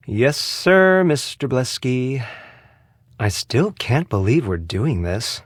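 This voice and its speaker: Wry spa receptionist, lively delivery. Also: male voice, medium pitch, no room sound, clean studio sound. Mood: guarded, dry. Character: smooth, sardonic male spa receptionist. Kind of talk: video game character dialogue.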